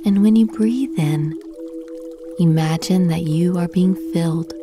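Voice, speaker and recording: A woman guiding a meditation slowly and soothingly with long pauses, her soft voice turning breathy at phrase ends. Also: fairly low pitch, background noise. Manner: slow, soothing, gentle instruction with long pauses. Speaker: meditation guide. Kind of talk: guided meditation.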